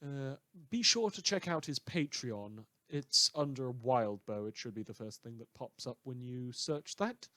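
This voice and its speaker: A man's voice: mid-range voice, expressive, measured pace, hardly any pauses, bright, forceful tone, no echo. A man hosting a podcast, informally reading out credits and thanks in a chatty, self-deprecating way. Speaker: podcast host and writer. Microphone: voice recorder.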